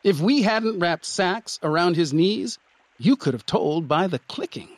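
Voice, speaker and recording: A man's voice: sits high, expressive, a little slow, no echo, pitched above the performer's own voice. A man voicing a wry, matter-of-fact talking horse in a fantasy storybook.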